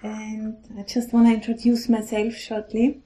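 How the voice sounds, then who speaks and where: Austrian woman, middle-aged nun, accented English, warm flat delivery, hesitant dharma talk. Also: on the high side. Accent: Austrian German. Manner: gentle, hesitant, halting with restarts.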